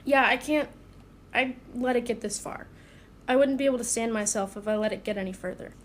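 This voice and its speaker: A woman voicing an anxious, principled young male superhero in an audio drama, halting and earnest. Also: on the high side, lightly acted. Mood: flustered, troubled. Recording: clear background noise.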